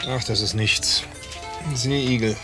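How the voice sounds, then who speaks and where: A man's voice: mid-range voice, steady tone, slow pace, lightly acted. An actor playing a stoic man who downplays things in short, dismissive phrases in a radio drama. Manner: clipped, dismissive. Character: stoic, terse man downplaying his pain. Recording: 1990 radio drama, music behind.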